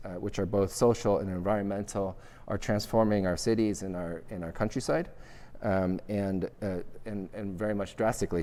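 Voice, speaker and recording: A middle-aged man whose first language is Vietnamese, giving a measured, thoughtful architecture lecture with frequent restarts. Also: lowish voice, darkish tone. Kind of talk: academic lecture with slides. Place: lecture hall. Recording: long reverb of a lecture hall.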